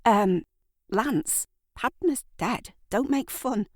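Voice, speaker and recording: Narrator playing a higher-pitched shaken woman. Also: dry recording, studio quality. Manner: strained, pleading, halting. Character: small, shaken, defensive woman who fights back.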